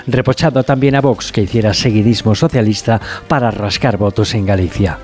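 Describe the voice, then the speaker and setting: A man's voice: on the low side, a little fast, a little mellow, soft background music. A man reading a scripted political news report for radio.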